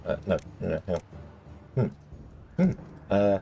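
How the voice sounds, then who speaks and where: A man commentating his gameplay casually, rambling with lots of ums and restarts, and a little croaky as he loses his voice. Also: low-pitched, sing-song, unhurried, over music. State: slightly croaky, losing his voice. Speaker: let's play host.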